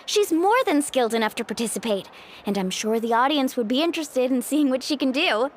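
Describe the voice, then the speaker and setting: A woman's voice: high-pitched, animated, fairly quick, a little hiss or hum. An actress playing a friendly, upbeat young woman in a video game, with a breezy, encouraging manner. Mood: eager, persuasive.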